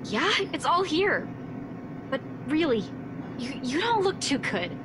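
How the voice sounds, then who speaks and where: A woman voicing an anxious, gently exasperated young colleague in a visual novel game, questioning and pleading. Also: sits high, sing-song, takes it slowly, background noise, heavily acted. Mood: concerned, exasperated. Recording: music behind the voice.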